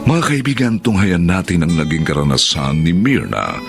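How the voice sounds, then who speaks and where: Very deep, gravelly Filipino male announcer. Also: very animated, moderate pace, music in the background. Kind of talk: dramatic radio drama introduction.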